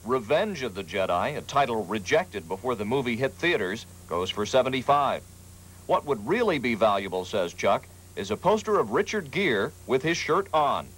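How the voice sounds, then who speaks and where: An American man narrates a TV news feature in a scripted style. His pitch moves up and down with plenty of variety at a middle register. Faint noise sits behind him, as on a 1980s broadcast. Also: rough recording. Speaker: TV news reporter.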